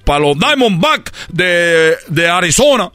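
A man's voice: sits high, very animated, slow, bright, forceful tone, dry recording, soft background music, heavily acted. A man playing a boastful Cuban baseball player with a Cuban accent in a comedic radio sketch, rambling and tripping over his words. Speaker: comedy character on a radio show.

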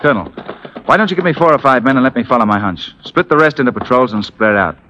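Bold, bright-voiced Union officer. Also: male voice, medium pitch, expressive, fast talker, highs cut off. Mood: assured, respectful. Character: bold, confident Union officer in the Old West. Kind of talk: 1940s radio acting. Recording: noisy 1940s radio broadcast.

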